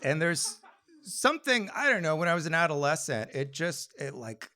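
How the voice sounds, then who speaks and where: Animated male storyteller on stage. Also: on the high side. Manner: conversational, halting, self-deprecating humour. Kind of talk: live personal storytelling on stage. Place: live storytelling venue.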